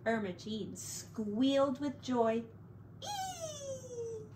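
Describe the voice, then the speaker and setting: A woman teacher reading a picture book aloud to children, slow and expressive. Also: on the high side, background noise.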